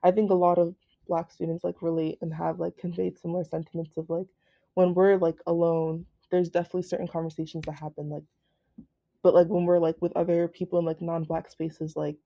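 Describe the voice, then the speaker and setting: A young woman student chatting on a podcast, with a slightly deep voice and heavy use of "like". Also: level tone, brisk, gravelly, mellow, muffled recording. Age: young adult. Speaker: student guest. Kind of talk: casual podcast discussion.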